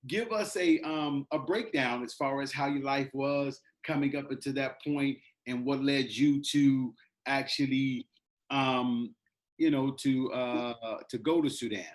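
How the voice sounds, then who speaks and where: A middle-aged man hosting a casual interview, talking haltingly with many fillers. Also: medium pitch, steady tone. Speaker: interview host.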